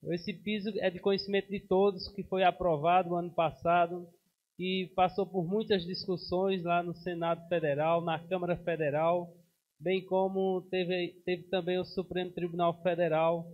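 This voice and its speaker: A councilman in his thirties speaks formally from a podium microphone in a council chamber, pausing and restarting now and then. His voice sits high and stays flat in tone. The broadcast sound is dull and muffled. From Brazil. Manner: formal, steady, with frequent hesitations. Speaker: city councilman. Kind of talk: formal speech at a council session.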